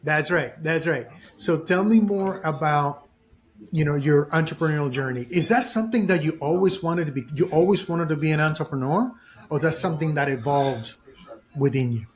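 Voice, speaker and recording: A man hosting an interview podcast, talking in a deliberate way and repeating phrases for emphasis. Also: a little high. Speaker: podcast host.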